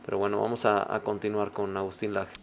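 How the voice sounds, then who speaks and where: A man hosting a reaction video, reading passages aloud and commenting on them in a measured, reflective way. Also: fairly low pitch, monotone, gravelly, slight background noise.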